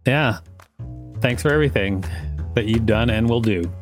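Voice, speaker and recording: A man's voice: mid-range voice, normal speed. An American man with a mid-range voice reads an encyclopedia article aloud as a podcast host. Faint guitar music sits behind him, and his reading mixes with casual banter.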